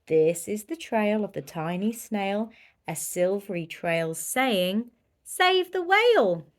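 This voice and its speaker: A woman's voice: mid-range voice, slow, darkish tone. A woman reading a children's picture book aloud with dramatic, emphatic storytelling. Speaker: volunteer story reader.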